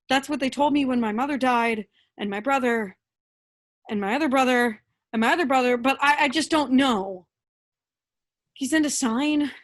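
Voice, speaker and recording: A woman's voice: fairly high pitch, natural voice. An actress playing an earnest, doubting woman who prays in a hesitant, rambling way in a comic play reading. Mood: searching, then pleading. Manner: hesitant, rambling, awkwardly sincere. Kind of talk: staged reading of a comic monologue.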